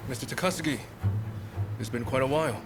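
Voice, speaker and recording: A man acts a courteous, knowing samurai underling in a game cutscene. His voice sits at a middle pitch, with music playing behind. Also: fast talker, natural voice. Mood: polite, knowing. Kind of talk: video game voice acting.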